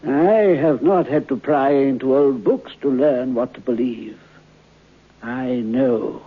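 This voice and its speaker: An actor playing a dignified, sorrowful old man in a vintage radio horror drama, halting, grave and bluntly sure of himself. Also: mid-range voice, slightly rough. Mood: solemn. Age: older. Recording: tinny, band-limited old radio recording.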